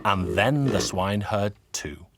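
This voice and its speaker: A man narrating a folk tale in a measured, expressive storybook style over instrumental music. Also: lowish voice, very noisy.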